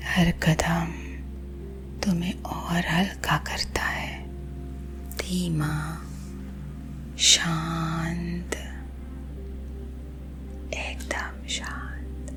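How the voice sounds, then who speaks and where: A woman with an Indian accent guiding sleep hypnosis in a husky, breathy ASMR whisper, gentle and soothing. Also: lowish voice, little pitch movement. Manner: slow, soothing, gentle, hushed. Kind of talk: whispered ASMR guided visualisation. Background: soothing music.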